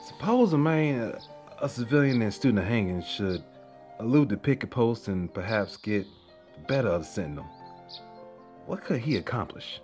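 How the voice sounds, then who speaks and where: A man playing a well-to-do Southern planter in a story, quietly and eagerly probing for news. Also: lowish voice, expressive, normal speed, voice pushed up, lightly acted. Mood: sly curiosity. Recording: music under the voice.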